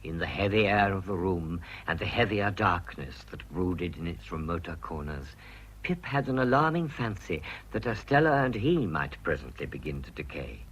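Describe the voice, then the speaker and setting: An actor playing a grave storyteller narrating a gothic radio drama, measured and solemn. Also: male voice, sits low, big pitch swings, a bit bright, husky, full character voice, low-quality recording. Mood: solemn, ominous.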